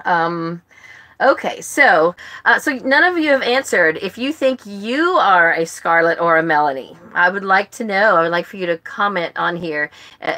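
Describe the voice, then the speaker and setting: Casting: woman, bright forceful tone on the lower side, chatty rambling livestream host, informal delivery. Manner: rambling, self-interrupting, chatty. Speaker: book club host and livestream presenter. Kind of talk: casual livestream chat to viewers. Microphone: phone.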